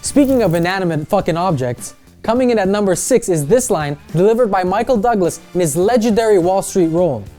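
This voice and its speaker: A woman's voice: medium pitch, lively intonation, brisk, warm tone, soft background music. A woman presenting a scripted countdown list with chatty, opinionated pop-culture commentary.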